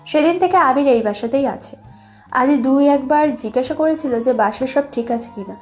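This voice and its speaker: A woman's voice: sits high, normal speed, darkish tone. A young woman reading scripted narration for a dramatized love story. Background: background music.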